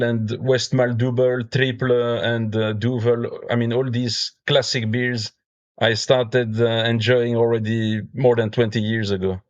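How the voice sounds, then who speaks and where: A man's voice: medium pitch, highs cut off. A man in his forties from Belgium with a French accent, talking casually as a podcast guest, with many fillers and restarts. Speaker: guest, brewer and beer judge.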